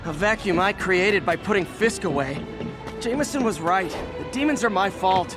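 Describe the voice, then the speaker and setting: A man's voice: high voice, animated, moderate pace. An actor playing a young male superhero who is quippy and self-deprecating, heard in video game dialogue over game music. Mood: wry. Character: young male superhero, wisecracking but weary.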